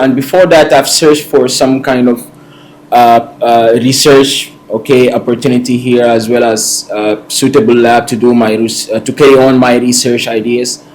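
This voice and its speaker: A man's voice: medium pitch, darkish tone, slight background noise, rough recording. A man, a PhD student introducing himself hesitantly with many fillers. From Sudan. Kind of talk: self-introduction.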